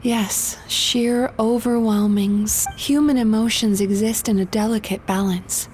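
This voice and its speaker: A woman voicing a calm, caring young dream therapist in a video game, composed and gently explanatory. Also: a little high, measured pace, slight background noise, close to an everyday voice. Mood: explaining.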